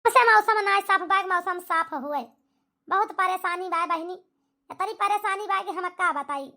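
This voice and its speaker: A very high, bright voice acting a nagging, emphatic village woman with an Awadhi accent in a cartoon comedy. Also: measured pace, full character voice. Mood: chatty, complaining. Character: scolding village Indian women of a cartoon family.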